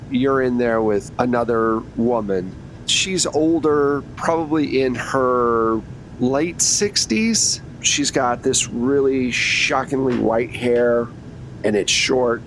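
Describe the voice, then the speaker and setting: Male game master, conversational improvised narration, average pitch, slight rumbly noise. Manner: casual, conversational.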